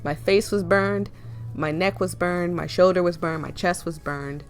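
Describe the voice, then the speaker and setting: A woman with a cold and a hoarse, fading voice, reading a visual novel aloud with chatty asides. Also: lowish voice, fairly even intonation, unhurried, soft background music. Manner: chatty, laughs and coughs often, rambling asides. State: hoarse, losing her voice with a cold. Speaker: game reader and streamer.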